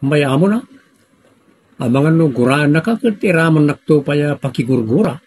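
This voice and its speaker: Man acting adult family man, Filipino accent, raised husky voice, grumbling, conversational audio drama. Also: a little high, animated, moderate pace, darkish tone. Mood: wary.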